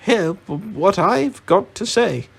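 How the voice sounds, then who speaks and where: A man's voice: high voice, expressive, slow pace, gravelly, slightly rough, voice pushed up, exaggerated voice. A man voicing a timid, polite little hobbit for a storybook, hesitant and rambling. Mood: flustered.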